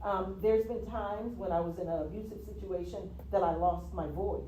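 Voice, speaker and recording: A woman from the US Virgin Islands, a guest telling her story in a casual interview, expressive and quick to laugh. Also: mid-range voice. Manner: expressive, laughs easily, repeats "yeah". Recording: roomy, from a distance, faint noise.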